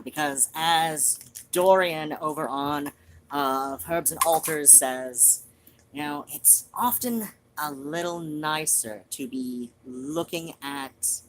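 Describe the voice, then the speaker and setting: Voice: mid-range voice, a bit bright, slight background noise, rough recording. A streamer chatting casually to viewers in a rambling, digressive way.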